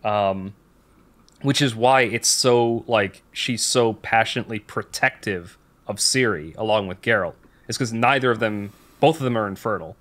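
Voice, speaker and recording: A man's voice: on the low side, moderate pace, a bit bright. A man hosting a podcast in casual chat, talking in a rambling, candid way and often interrupting his own sentences. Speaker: podcast host.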